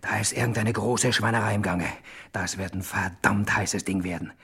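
A man's voice: on the low side, steady tone, moderate pace, a bit bright, lightly acted. A man acting a grim, muttering hard-boiled crime hero in a 1950s German radio drama. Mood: grim, suspicious.

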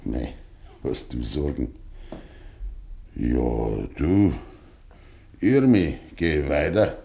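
An actor playing a stubborn, grieving old farmer with a Bavarian accent and quiet tenderness in a 1950s dialect radio play. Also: male voice, rumbling low, sing-song, extremely slow, gravelly, exaggerated voice. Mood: quiet, confiding. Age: older. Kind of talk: 1950s German dialect radio drama acting.

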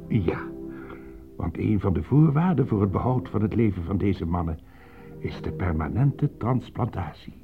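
An actor playing a grandiose, patronizing mastermind of a futuristic city in a radio drama, lecturing pompously. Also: male voice, fairly low pitch, lively intonation, moderate pace, vocal fry. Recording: muffled phone-like sound, music underneath.

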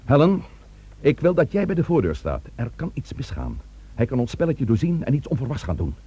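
Husky, terse police chief. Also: male voice, medium pitch, huge pitch swings, fairly quick, mellow, dull audio. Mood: brisk, tense. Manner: terse, authoritative. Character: commanding, determined male police chief. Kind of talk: Dutch radio play acting.

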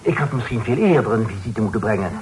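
An actor playing an affected, very formal gentleman caller, speaking ceremoniously in a period radio drama. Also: fairly low pitch, expressive, fast, natural voice, low-quality recording. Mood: courteous, formal. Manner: affected, ceremonious, French-sprinkled speech. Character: mannered gentleman suitor paying a formal call. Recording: old radio recording with faint noise.

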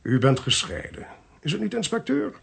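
Sardonic, creaky-voiced male investigator in charge. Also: sits high, wildly swooping pitch, normal speed, a bit bright, full character voice. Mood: needling. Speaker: actor. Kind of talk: radio drama acting in Dutch. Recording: faint hiss on radio play recording.

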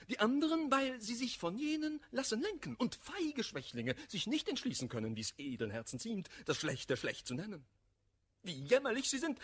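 An actor playing an embittered, hot-headed misanthrope in a classical German radio play, declaiming vehemently in rhymed verse. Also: male voice, sits high, breathy edge, full character voice. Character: embittered, hot-headed male misanthrope railing against hypocrisy.

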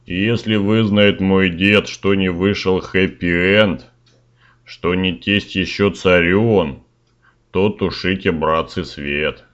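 A man's voice: deep voice, fairly even intonation, takes it slowly, fairly bright tone, lo-fi sound. A man voicing Ivan-type peasant fool, a slangy boastful lad, in rhymed comic verse for a fairy-tale audio story. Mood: worried. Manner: slangy, colloquial rhymed delivery.

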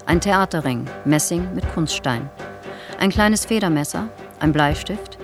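An actress playing a reader of case evidence in a radio drama, itemising facts in a detached, impersonal way. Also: female voice, on the low side, expressive, measured pace, over music. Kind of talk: documentary-like scripted narration in radio drama.

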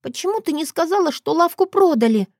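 A woman voicing a feisty, fiercely protective little girl with a shrill, stubborn, sulky manner in an audiobook story. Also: animated, brisk, no echo, studio quality. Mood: sulky, worried.

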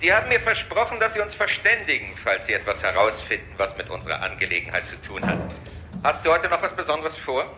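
An actor playing a condescending, falsely polite police director in a German radio crime drama. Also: male voice, on the high side, big pitch swings, fast, sharp tone, slight background noise, full character voice. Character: smooth-talking, condescending male police director.

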